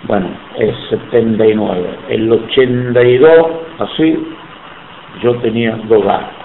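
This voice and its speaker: A man's voice: mid-range voice, a little slow, roomy sound, phone-quality sound, a little hiss or hum. A man in his seventies from Sicily, speaking Spanish with an Italian accent in an oral history interview, emphatic and indignant. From Sicily, Italy. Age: 70s.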